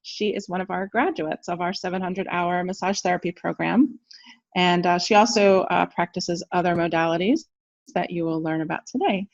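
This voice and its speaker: A woman's voice: on the low side, steady tone, moderate pace, gravelly, dry recording. A woman hosting a casual interview, upbeat, laughing often and giving short encouraging replies. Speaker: school co-founder and interview host. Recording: dull, dry lo-fi sound.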